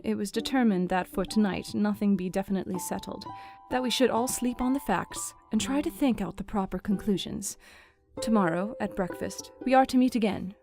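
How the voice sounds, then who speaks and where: A woman's voice: medium pitch, creaky, natural voice. A woman playing a young Victorian wife who reads her journal in earnest narration over music. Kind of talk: audio drama reading.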